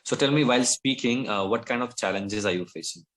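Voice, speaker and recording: A man tutoring English, asking questions patiently and gently correcting his student on a video call. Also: mid-range voice, fairly quick. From India. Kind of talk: tutoring conversation.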